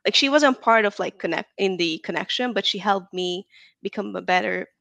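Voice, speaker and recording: A woman's voice: mid-range voice, normal speed, vocal fry, fairly bright tone, no room sound. A woman from Hungary, chatting casually as an interview guest, full of likes and ums. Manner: chatty, frequent "like" and "um".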